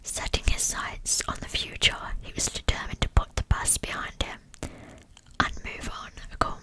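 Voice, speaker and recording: A woman narrating a scripted story as ASMR in a breathy, hushed whisper, phrase by phrase. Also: moderate pace, poor audio. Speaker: ASMR host narrating true crime.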